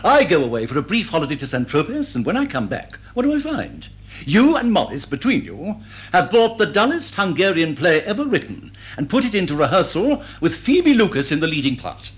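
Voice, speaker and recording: A man's voice: high voice, sing-song, a little fast. An actor playing a vain, indignant middle-aged English stage star who declaims grandly and answers his own questions in a radio comedy. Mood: grandly aggrieved. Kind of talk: stage drawing-room comedy in radio drama.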